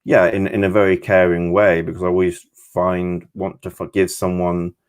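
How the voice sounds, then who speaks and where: A man who reads tarot, discussing the cards conversationally in a reflective, halting way. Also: sits low, flat delivery, smooth. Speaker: tarot reader.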